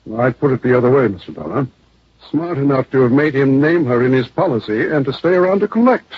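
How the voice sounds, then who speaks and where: A dull, dry vintage radio recording holds a frank, suspicious informant. His mid-pitched voice is husky and lively in inflection, with formal phrasing from an old detective drama. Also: fast talker. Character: matter-of-fact, suspicious man briefing an investigator. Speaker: supporting actor in a radio drama. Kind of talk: 1950s radio drama acting.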